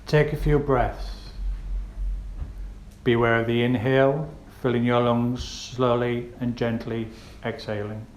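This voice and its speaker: A man leads a guided meditation, giving gentle, unhurried instructions with pauses between phrases. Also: mid-range voice, level tone. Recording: roomy echo with faint background noise.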